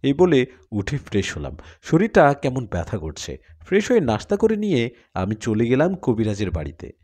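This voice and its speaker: Male horror storyteller, mid-range pitch, very animated intonation. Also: normal speed. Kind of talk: dramatic first-person horror story narration.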